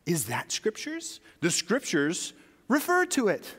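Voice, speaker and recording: A man's voice: high-pitched, huge pitch swings, slow, a bit bright, breathy edge, long reverb tail. A man preaching a sermon as pastor, talking conversationally and putting questions to his congregation.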